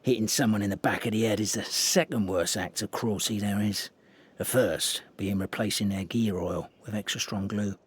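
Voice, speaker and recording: An actor playing a theatrical, dithering official in a fantasy game, hesitant, dramatic and wry. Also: male voice, lowish voice, fairly even intonation, moderate pace, husky. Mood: wry, grave. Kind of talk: video game voice acting.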